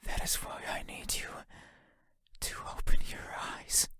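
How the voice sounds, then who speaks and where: A man voicing a lonely, faceless horror game entity, menacing and mournful, in a breathy whisper with a slow, halting, intimate delivery. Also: sharp tone, no room sound. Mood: pleading, eerie. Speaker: voice actor.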